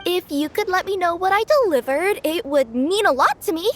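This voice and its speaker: A woman's voice: very high-pitched, lively intonation, a little fast, fairly bright tone. A woman voicing a cheerful young female cat-spirit courier who speaks politely and stammers when nervous. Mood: flustered. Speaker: delivery courier. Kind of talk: video game voice acting.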